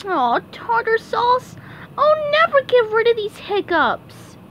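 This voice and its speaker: A woman's voice: extremely high pitch, big pitch swings, takes it slowly, a bit bright, full character voice. A woman voicing an eager, anxious young male sea sponge in a hobby cartoon dub, with a whiny, childlike, exclaiming manner. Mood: distressed. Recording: muffled, clearly noisy home recording.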